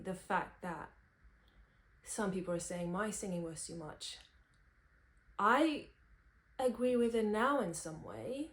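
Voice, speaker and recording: A woman in her twenties from Romania, reflecting candidly and thoughtfully without a script, in halting phrases. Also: medium pitch. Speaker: vlogger, singer-songwriter. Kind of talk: unscripted personal reflection. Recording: roomy, echoey sound.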